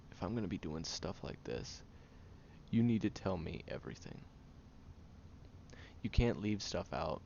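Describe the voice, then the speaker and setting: A muffled recording with some hiss carries a man acting as a guarded, prickly young man in an intimate audio roleplay. His low voice moves with lively inflection. He speaks haltingly and often hushed, stern but caring toward a sick friend. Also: vocal fry, heavily acted. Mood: reluctant, firm.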